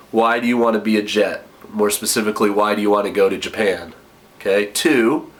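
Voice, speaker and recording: A man's voice: on the low side, a bit bright. A young man with a cold, sounding a bit nasal, giving chatty application advice in his kitchen with lots of ums. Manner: chatty, many ums and self-corrections. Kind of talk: casual advice vlog.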